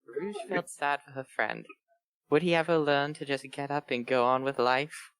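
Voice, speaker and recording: A woman's voice: extremely low pitch, normal speed, clean tone, dry recording. A woman reading fan fiction aloud and riffing on it casually with friends. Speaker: fan fiction reader and commentator.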